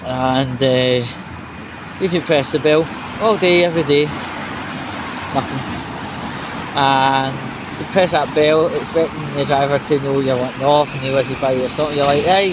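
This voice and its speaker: A man's voice: on the high side, lo-fi sound. Scottish man rambling over street noise. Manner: sweary, irreverent, halting delivery with pauses. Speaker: vlogger. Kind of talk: rambling casual walking vlog, chatty. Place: outdoors on a city street. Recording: noisy outdoor street with traffic.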